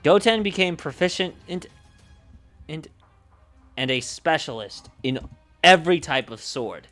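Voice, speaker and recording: A man's voice: a little high, expressive, a little slow, fairly bright tone. A man livestreaming as a game master, reading out story summaries and chatting, often repeating and correcting his words. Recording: music playing behind the voice. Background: background music.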